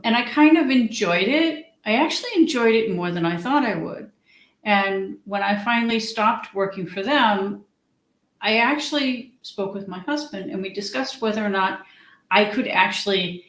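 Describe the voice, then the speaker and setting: A middle-aged woman from the United States, a guest in a conversational interview, talking with many restarts and ums. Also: mid-range voice. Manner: hesitant, frequent restarts and ums. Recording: echoey room.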